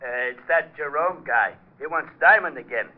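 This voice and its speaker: High-voiced bumbling police sergeant. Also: male voice, expressive, normal speed. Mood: hesitant. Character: bumbling, slow-witted male police sergeant. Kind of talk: 1940s radio comedy acting. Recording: thin, phone-like old radio recording.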